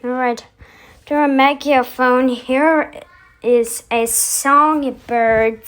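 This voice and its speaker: A woman's voice: high voice, steady tone, drawn-out words, fairly bright tone. A woman hosting casually, musing aloud as she announces each step of a voice effects demonstration. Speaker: video host.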